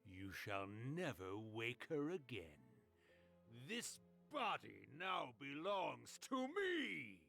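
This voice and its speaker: An actor playing a theatrical, mischievous spirit of a long-dead author in a video game, with a grandiose, drawn-out manner. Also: male voice, medium pitch, wildly swooping pitch, gravelly, pushed and bright, heavily acted. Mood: menacing, gloating. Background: music.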